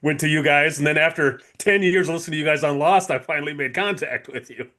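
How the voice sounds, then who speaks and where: A man's voice: a little high, quick pace, sharp tone. A man co-hosting a podcast, chatting casually in an agreeable, plain-spoken way. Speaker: podcast co-host. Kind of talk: casual chat.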